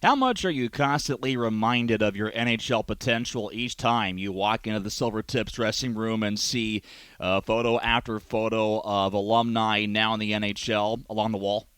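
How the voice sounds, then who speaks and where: Sports radio host, male, mid-pitched and smooth, polished questioning in a dry acoustic. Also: normal speed, fairly bright tone, dry recording.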